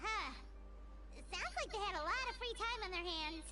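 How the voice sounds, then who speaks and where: A woman voicing a small, childlike, cheeky and inquisitive companion creature in a video game, with a squeaky voice. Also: lively intonation, moderate pace, over loud music. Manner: inquisitive, blunt.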